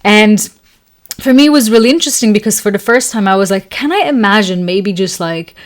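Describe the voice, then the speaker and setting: A woman's voice: medium pitch, expressive, brisk. A young woman whose first language is Serbo-Croatian talks through a story in loose, conversational English. She leans on lots of fillers, trips over her words and starts again, and laughs now and then. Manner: chatty, many fillers and restarts, laughs often. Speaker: astrology podcast host. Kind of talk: casual storytelling monologue.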